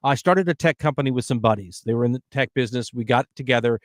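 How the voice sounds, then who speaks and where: A middle-aged man hosting a podcast, telling stories in an animated, emphatic way during casual conversation. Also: mid-range voice, fast, no room sound, studio quality. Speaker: podcast host.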